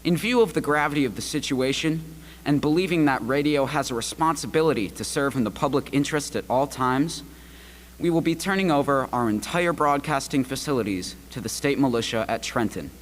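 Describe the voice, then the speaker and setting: A man's voice: medium pitch, a little fast, natural voice. Man as broadcast executive, radio drama, solemn, formal statement, hall reverb, slight hum. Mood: solemn, official. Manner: formal, dutiful. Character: solemn male broadcasting company vice president. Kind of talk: radio play official statement.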